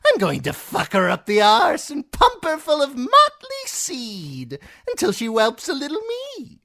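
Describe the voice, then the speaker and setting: A man voicing a cruel, lewd male jester who crows and taunts in a shrill sing-song. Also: wildly swooping pitch, unhurried, pushed and bright, full character voice. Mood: leering.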